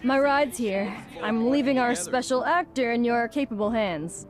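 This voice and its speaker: A woman playing a brisk, pragmatic film director in an audio drama. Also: a little high, music in the background, lightly acted. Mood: businesslike.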